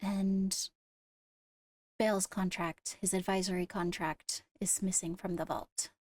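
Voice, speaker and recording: A woman playing a composed court leader in a Minecraft roleplay, speaking formally and haltingly as she gives a briefing. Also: medium pitch, little pitch movement, darkish tone. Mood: measured, serious. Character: measured female court leader briefing on attacks. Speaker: roleplayer leading a court meeting.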